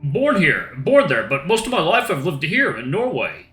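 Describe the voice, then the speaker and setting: A man putting on an upbeat young man with a lifted, sunny voice, talking freely and easily. The sound is bright and crisp. A roomy echo hangs on it, with faint guitar music underneath. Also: on the high side, huge pitch swings, moderate pace, voice pushed up, exaggerated voice. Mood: friendly. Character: friendly young male camp-goer, upbeat and candid.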